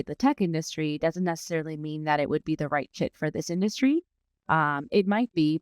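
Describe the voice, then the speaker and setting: A woman's voice: deep voice, clean tone, no room sound, studio quality. A woman from Iran who partly grew up in Dubai, answering conversationally as an interview guest about her professional work. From born in Iran, raised partly in Dubai. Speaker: interview guest, learning and development manager.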